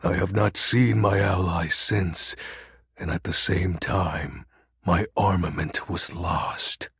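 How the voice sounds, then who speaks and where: An actor voicing a melodramatic, brooding game character, a personification of darkness who talks in pompous, theatrical, archaic phrases with a gravelly, husky voice. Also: male voice, low voice, fairly even intonation, slow, no echo, studio quality, full character voice. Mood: mournful, ominous. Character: melodramatic brooding Lord of Shadows, personified darkness, secretly dorky. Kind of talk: video game character voice acting.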